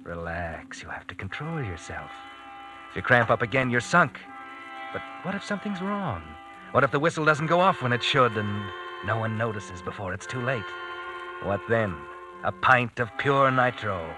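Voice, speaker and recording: A man's voice: medium pitch, big pitch swings, normal speed, natural voice, rough recording. Guy doing old radio suspense narrator, insistent and tense, dramatic music behind him. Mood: insistent, taunting, then urgent, alarmed. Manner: insistent second-person address to the hero.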